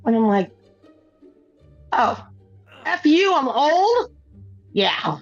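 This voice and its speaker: A woman's voice: high-pitched, sing-song, drawn-out words, soft background music. A woman hosting a gaming stream, chatting casually and telling a rambling story, laughing often and restarting her sentences. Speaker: streamer and show host. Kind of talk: casual chat while gaming. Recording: dull, dry lo-fi sound.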